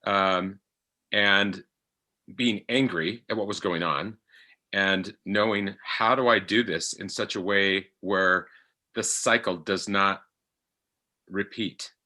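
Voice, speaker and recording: A middle-aged man talking reflectively in conversation, halting often as he searches for his words. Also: low-pitched, sharp tone. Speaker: podcast actor and guest.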